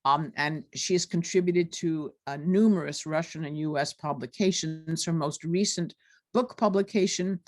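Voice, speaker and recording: A woman's voice: low-pitched, normal speed. A woman professor giving a formal introduction of a guest speaker, reading a biography with many ums and uhs, over a video call.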